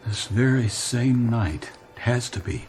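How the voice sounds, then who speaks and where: A male actor's portrayal of a desperate, earnest man in a radio drama, speaking at a middle pitch. He implores in halting phrases, with music playing faintly underneath. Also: a little mellow, lightly acted. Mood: wistful, reminiscing. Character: desperate grown man pleading with parents and younger self.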